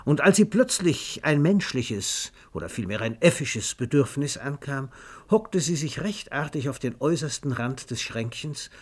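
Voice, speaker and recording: Male narrator, medium pitch, scripted literary storytelling, measured delivery, radio play. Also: animated, husky.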